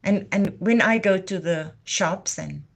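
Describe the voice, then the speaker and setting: A woman's voice: mid-range voice, a little slow, gravelly. A woman from Namibia, hosting a live talk in a passionate, declamatory way. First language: Afrikaans. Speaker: livestream host. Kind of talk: impassioned heritage talk.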